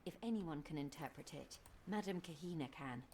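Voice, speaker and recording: Earnest low-voiced devoted daughter. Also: female voice, animated, normal speed, crowd noise. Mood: earnest, urgent. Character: earnest daughter seeking to clear her father. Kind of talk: dramatic video game voice acting.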